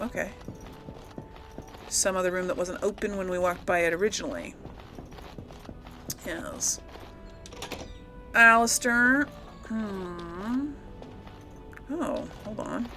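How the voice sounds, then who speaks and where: A woman's voice: lowish voice, vocal fry. A woman hosting a casual role-play let's play, thinking aloud in a hesitant, amused way and speaking her character's replies. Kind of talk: casual game commentary, role-playing dialogue aloud.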